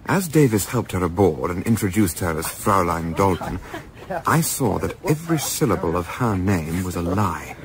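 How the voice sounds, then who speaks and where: An actor playing a polite, well-spoken young English gentleman who narrates his adventure in a radio play, with an English accent. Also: deep voice. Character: Carruthers, urbane young English gentleman, wry, diarist narrator. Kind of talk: radio play acting and first-person narration.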